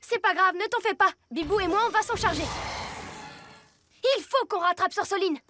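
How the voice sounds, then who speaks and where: A woman's voice: extremely high pitch, moderate pace, background noise. A woman voicing a kind young boy cat hero in a cartoon dub who reassures his friends and takes charge. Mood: steady. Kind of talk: cartoon dubbing.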